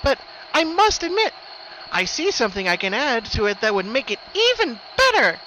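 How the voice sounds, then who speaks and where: A twisted female pony who delights in cruelty, in a dramatic storybook-style reading. Her voice is high and bright, cheerful and sing-song with playful menace. There is faint noise in the recording. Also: big pitch swings, moderate pace, dull audio. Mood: sweetly menacing. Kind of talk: dramatic fan fiction reading.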